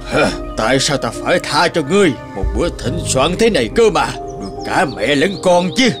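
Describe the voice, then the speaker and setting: Man voicing a gruff male lion, lively voice, stammers, cartoon, music behind. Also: fairly high pitch, moderate pace. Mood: mocking. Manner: blustering, stammering. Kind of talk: cartoon voice acting.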